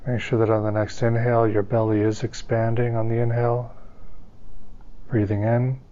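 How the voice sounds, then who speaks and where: A man leading a guided meditation, giving calm, gentle, unhurried instructions as a teacher. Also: medium pitch, muffled recording, a little hiss or hum.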